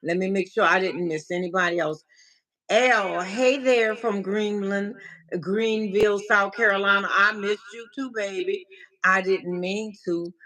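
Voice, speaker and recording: Bright-voiced woman hosting a livestream. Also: medium pitch, steady tone, a little slow, rough recording. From Minnesota, USA. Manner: chatty and repetitive, with frequent restarts and laughter. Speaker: livestream host and life coach. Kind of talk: casual livestream chat, reading the chat aloud.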